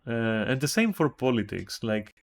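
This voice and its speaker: A man with a Greek accent talks through his thoughts on a stream, his voice sitting at an ordinary middle pitch. He questions himself as he goes, repeating and restarting his sentences. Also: animated, moderate pace, gravelly. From Greece. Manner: thinks aloud, many restarts and puzzled questions. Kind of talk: casual stream commentary, reacting to a text.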